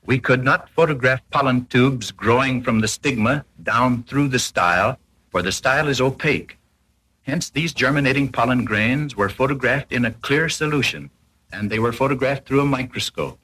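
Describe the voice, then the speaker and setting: A man with a husky, slightly rough voice at a middling pitch narrates an educational film in 1940s style. He reads from a script formally, explaining each point carefully like an instructor. The sound is that of an old film soundtrack from the period. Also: moderate pace, pushed and bright, rough recording.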